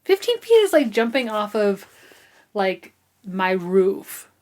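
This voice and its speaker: A woman's voice: mid-range voice, sing-song, takes it slowly, a little mellow. A woman with a mid-range voice talks casually as a book podcast co-host. Her delivery is conversational and wry, leaning hard on emphasis as she reacts. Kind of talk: casual chatty book discussion.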